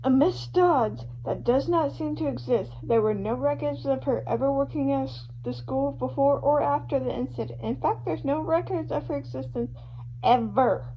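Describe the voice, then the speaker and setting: A woman's voice: high-pitched, normal speed, lightly acted. A woman voicing an eager male true-crime show host, telling the case in an emphatic, conversational way that builds suspense. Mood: intrigued. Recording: muffled lo-fi home recording with hum.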